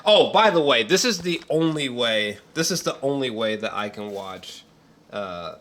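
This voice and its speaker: A man giving casual, unscripted film commentary as a reviewer, rambling with repeated words and throat clearing, in a slangy, profane and emphatic way. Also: mid-range voice, lively intonation, unhurried, a bit bright.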